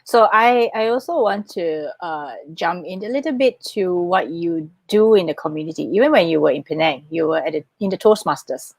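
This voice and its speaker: A woman's voice: lowish voice, lively intonation, moderate pace, hardly any pauses, a little mellow, no room sound. A woman hosting an interview, chatty and encouraging as she asks her guest questions. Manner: chatty, encouraging, laughs easily. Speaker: host. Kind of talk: live-streamed interview.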